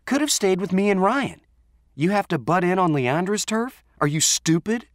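A man's voice: a little high, very animated, takes it slowly, slightly rough, no echo, studio quality, voice pushed up, heavily acted. A man voicing a spiteful, hot-headed teenage girl who hollers confrontationally in an audiobook story. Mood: aggressive.

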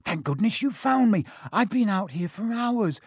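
A man's voice: sits high, animated, normal speed, husky, no echo, clean studio sound, pitched above the performer's own voice, exaggerated voice. A man voicing a timid, anxious young schoolboy with a plaintive, pleading manner in a storybook reading. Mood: relieved, anxious.

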